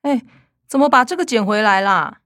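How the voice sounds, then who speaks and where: A woman's voice: on the high side, lively intonation, normal speed, fairly bright tone, no room sound, studio quality. A woman playing a young student, the casual, defensive younger sister, with a Taiwanese accent in a radio drama. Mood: offhand.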